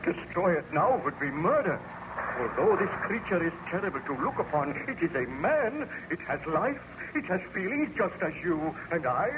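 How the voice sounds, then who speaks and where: An obsessed male scientist speaks from a muffled, noisy vintage radio serial. His voice sits fairly high and swings widely in pitch. He is grandiose and formal, every order given as a master would give it. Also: very animated, moderate pace. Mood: exultant, defiant. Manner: grandiose, formal, theatrical delivery. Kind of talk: old-time radio melodrama acting.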